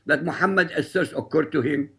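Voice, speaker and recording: A middle-aged man from Iraq, Arabic his first language, speaks in a studio with a rough, gravelly voice that turns breathy at the edges. His delivery is deliberate and emphatic, building each point like a prepared speech. His voice sits a little high for a man. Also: a bit bright. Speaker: guest author.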